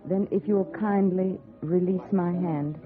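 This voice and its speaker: A woman's voice: fairly low pitch, steady tone, measured pace, soft background music, lightly acted. Woman playing a haughty, proper Old West lady. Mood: icy, polite. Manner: cool, formal, clipped refusals. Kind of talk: 1950s radio drama acting. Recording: tinny old radio broadcast.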